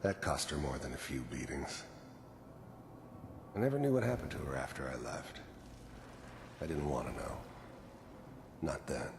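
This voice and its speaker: A man playing a world-weary, gruff middle-aged mercenary in a video game, slowly and broodingly looking back on his boyhood in a gravelly voice. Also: sing-song, full character voice. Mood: rueful. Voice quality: low, gravelly. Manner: slow, brooding, confessional. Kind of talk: video game voice-over monologue. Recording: reverberant game audio over noisy ambience.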